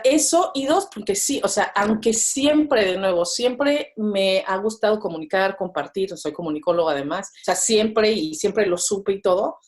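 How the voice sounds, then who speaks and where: Mexican woman, casual podcast guest, chatty, fillers, mid pitch. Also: darkish tone. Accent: Mexican Spanish. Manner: chatty, many fillers and tag questions. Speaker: podcast guest, business owner. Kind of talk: casual interview chat.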